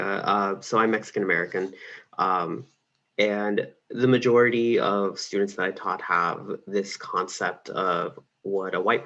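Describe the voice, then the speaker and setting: A man speaking on a panel in a measured way, pausing with "um" often. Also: sits low, a bit bright. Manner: measured, with "um" pauses. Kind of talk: casual panel chat. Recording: dull, muffled sound.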